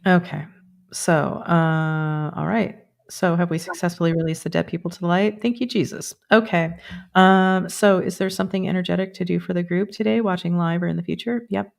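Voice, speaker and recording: A woman around sixty hosting a live stream, chatting casually and then guiding a group energy meditation with quick yes-or-no pendulum checks. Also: on the low side, fairly even intonation, creaky. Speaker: energy work host.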